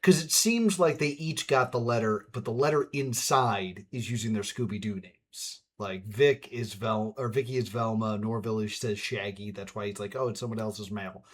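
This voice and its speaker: Casual, informal man reacting. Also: on the low side. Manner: informal, halting, thinking aloud. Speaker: let's play host.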